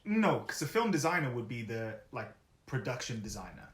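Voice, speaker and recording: A man's voice: medium pitch, sing-song, brisk, roomy sound. A young man, chatting easily as an interview guest, laughing often and trailing off with fillers. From Wales. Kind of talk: casual interview chat.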